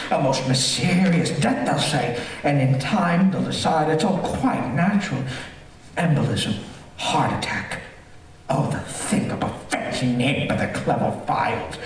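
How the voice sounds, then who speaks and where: A man's voice: sits high, big pitch swings, moderate pace. A man playing a vain, gloating serial killer in an old-time radio thriller on stage, with theatrical, gleeful cackling and relishing delivery. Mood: gloating, menacing. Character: gloating, vain male serial killer showing off his lair. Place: theater, live-streamed stage performance. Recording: echoey theater stage, distant, faint noise.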